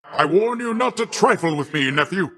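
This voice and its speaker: An actor playing a boastful, affectionate older uncle who is a sea god, pompous and theatrical, with grand old-fashioned wording, for a video game. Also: male voice, high voice, lively intonation, moderate pace, sharp tone, exaggerated voice. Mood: chiding, warning. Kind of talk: video game character voice acting.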